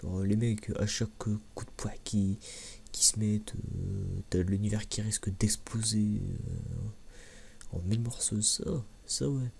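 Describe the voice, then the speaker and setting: A man speaks softly in a mellow, crackly voice, as in an ASMR review. His delivery is informal and hesitant, circling back over the same words and sometimes dropping close to a whisper. Some background noise sits under the voice. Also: lowish voice, fairly even intonation, unhurried. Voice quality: soft, hushed voice, sometimes near a whisper. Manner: hesitant, informal, slangy, often repeating words. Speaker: host of an anime review video. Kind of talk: soft-spoken ASMR commentary, casual and rambling. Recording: clearly audible background noise.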